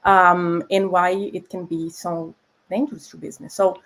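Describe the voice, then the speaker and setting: A woman from Brazil with a Brazilian Portuguese accent, a food scientist guest explaining regulations in a clear, patient way. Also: fairly low pitch, flat delivery, normal speed, a bit bright. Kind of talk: explanatory conversation.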